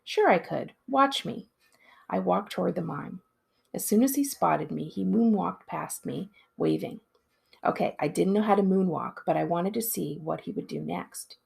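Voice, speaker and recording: Very husky, creaky woman reading aloud. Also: fairly low pitch, moderate pace, dark tone. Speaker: school librarian reading aloud. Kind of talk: expressive storybook narration.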